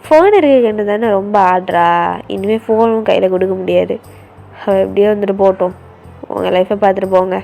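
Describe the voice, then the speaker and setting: A woman's voice: mid-range voice, level tone, a little fast, vocal fry, warm tone, faint music behind, pitched below the performer's own voice. A woman voicing an angry, controlling older brother who barks threats and orders. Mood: angry threatening. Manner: harsh, threatening, commanding.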